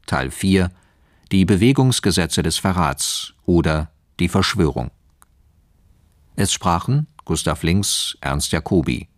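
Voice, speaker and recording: A man's voice: deep voice, no room sound, clean studio sound. A man formally announcing the title and cast of a radio drama in a factual, scripted style.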